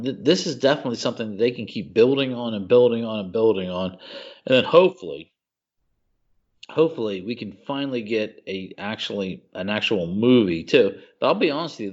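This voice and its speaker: A man co-hosting a podcast in casual chat, gushing and excitable, calling his friend "dude.". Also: medium pitch, muffled recording. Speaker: podcast co-host.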